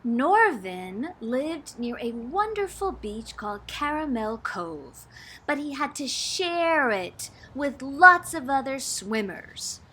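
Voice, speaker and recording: A woman reads a picture book aloud in a bright, high voice. Her pitch swoops widely as she stresses words for drama, like a teacher performing for a class. The delivery is theatrical and expressive. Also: takes it slowly, slight background noise. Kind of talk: expressive storybook read-aloud.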